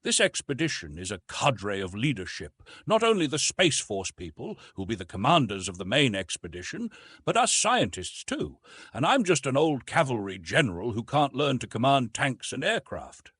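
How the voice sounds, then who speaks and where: A man's voice: medium pitch, sing-song, moderate pace, a bit bright, slightly rough, no room sound, studio quality. A man voicing a weary old scholar. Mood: resigned. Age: older. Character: aging male scholar, weary and resigned. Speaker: archaeologist.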